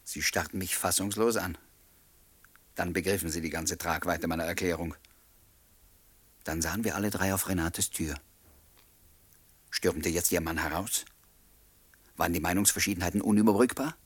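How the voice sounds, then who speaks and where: A wry first-person narrator in a radio drama. His voice is deep and husky, measured and resigned in manner. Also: fairly even intonation, dry recording. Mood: wry, reflective. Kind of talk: German radio play narration and dialogue.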